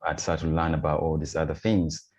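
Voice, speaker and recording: A man's voice: extremely low pitch, level tone, a little fast, darkish tone. A man born in Uganda, a podcast guest telling a personal story in a reflective, hesitant way with many fillers. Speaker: podcast guest, running coach. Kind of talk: conversational storytelling.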